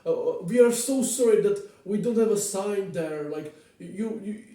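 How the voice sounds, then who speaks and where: A man's voice: sits high, a little slow, mellow, pitched above the performer's own voice. A man voicing a flustered, apologetic buffet worker who fusses, stammers and insists while warning a customer. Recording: very echoey room, distant microphone.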